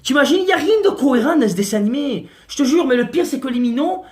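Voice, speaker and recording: A man's voice: very high-pitched, animated, quick pace. A man doing a slangy, exasperated comic rant to camera. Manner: slangy, exasperated, mock-outraged. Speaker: comic vlogger.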